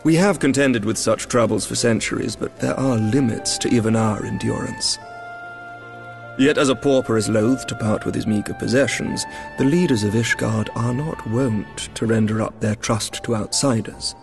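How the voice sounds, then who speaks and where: An actor voicing a courteous knight commander in a fantasy game, speaking formal, measured lines with a British accent. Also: male voice, lowish voice, gravelly, music in the background. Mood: composed, earnest. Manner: formal, stately, measured diction. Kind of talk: dramatic fantasy game voice acting.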